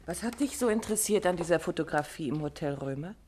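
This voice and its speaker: An actress playing a lively, chatty wife in a 1950s radio play, speaking in a natural, conversational way. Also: female voice, low-pitched, fast talker, slight background noise. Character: chatty, concerned wife of a detective.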